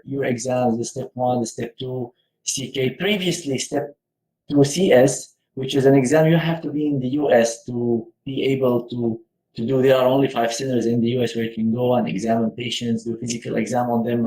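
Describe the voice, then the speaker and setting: A young man from Syria, a medical research fellow, giving advice in a relaxed talk with many fillers and restarts. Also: medium pitch. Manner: hesitant, with frequent fillers and restarts. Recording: echoey room.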